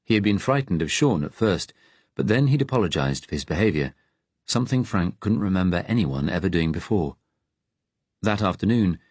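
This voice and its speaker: Low-voiced male audiobook narrator. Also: slightly rough, dry recording. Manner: measured, literary storytelling.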